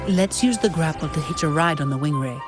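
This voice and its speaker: A woman's voice: low-pitched, fairly quick, lightly acted. An actress playing a composed, weary female guide in a video game, speaking in a matter-of-fact, understated way. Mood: matter-of-fact, thoughtful. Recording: loud music behind the voice.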